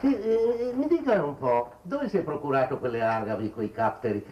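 An actor playing an obsequious, nosy gentleman in a 1950s Italian television period drama, speaking with ceremonious, insinuating courtesy. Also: a little high, sing-song, normal speed, a little hiss or hum. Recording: dull, roomy 1950s TV soundtrack.

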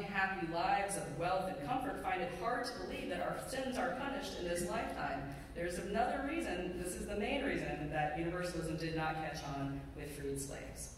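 A middle-aged pastor preaching a sermon in a measured, deliberate way with pauses. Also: medium pitch. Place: church sanctuary pulpit, indoors. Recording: very echoey hall, distant mic, faint noise.

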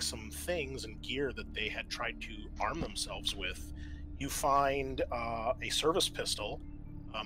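A man narrating a tabletop roleplaying game as game master, halting and thinking aloud as he improvises. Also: medium pitch, fairly bright tone, low-quality recording.

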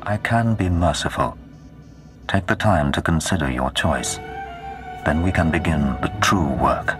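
An extremely low-voiced dark lord from a sci-fi video game. He is imperious and menacing, speaking in deliberate, commanding pronouncements over clearly audible music. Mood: cold menace. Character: menacing, imperious male Sith lord seeking to rule. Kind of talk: dramatic video game voice acting.

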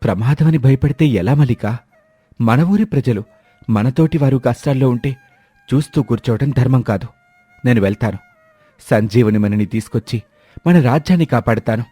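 A man's voice: medium pitch, moderate pace, long pauses, warm tone, no room sound, close to an everyday voice. A man voicing a brave, kind young village farmer who speaks earnestly and reassuringly in a storybook tale. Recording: dry, faint music behind.

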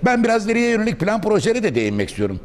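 A man's voice: high-pitched, sing-song, rapid-fire. A middle-aged man hosting a promotional interview in a cafe, speaking formally and ornately and often finishing his guest's sentences. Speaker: interviewer host. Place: indoor cafe restaurant.

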